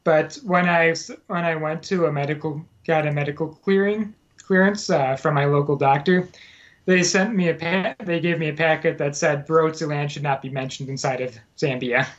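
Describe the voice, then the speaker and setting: A man's voice: fairly high pitch, moderate pace. A man in casual podcast conversation, telling about his time as a Peace Corps volunteer, rambling with frequent fillers and self-corrections. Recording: dull lo-fi sound.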